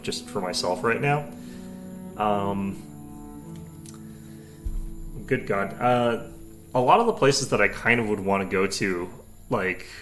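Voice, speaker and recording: A young man with a low, gravelly voice chats loosely with his stream viewers, wandering between thoughts with plenty of fillers. Faint music plays underneath him. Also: fairly bright tone. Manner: rambling, many fillers and restarts. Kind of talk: casual chat with stream viewers.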